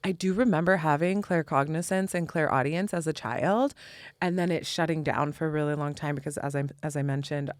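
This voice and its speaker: Expressive American woman, dry close sound. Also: sits low, fairly quick, no room sound. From Indiana, US Midwest. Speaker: podcast guest, spiritual teacher. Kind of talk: reflective conversational interview.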